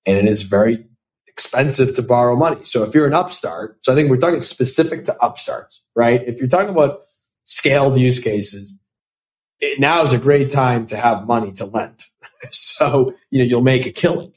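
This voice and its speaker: A man's voice: mid-range voice, normal speed. A man in his thirties, an investor answering podcast interview questions, thoughtful and talking with many fillers and restarts. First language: Italian. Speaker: venture capital investor.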